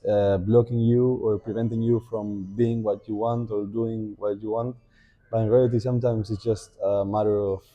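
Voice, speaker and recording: A young man with an Argentine Spanish accent, Spanish as his first language, talking as a podcast guest in a thoughtful way, pausing to think and often restarting his sentences. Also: lowish voice, dark tone. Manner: reflective, thinking aloud with many fillers and restarts. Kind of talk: casual podcast conversation.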